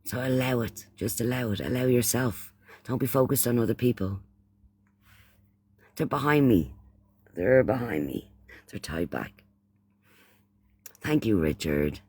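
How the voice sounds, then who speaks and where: A man's voice: medium pitch, expressive, a little slow, long pauses, a little mellow, slightly rough, no room sound. A man from Ireland with an Irish accent hosting a casual livestream chat, often repeating phrases and using pet names for those he addresses. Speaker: livestream host.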